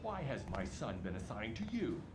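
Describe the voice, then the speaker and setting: A man's voice: fairly low pitch, big pitch swings, normal speed. A man playing a domineering, indignant middle-aged father in a video game, stern, demanding and contemptuous. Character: domineering, indignant father used to giving orders. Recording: distant, quiet, with background noise.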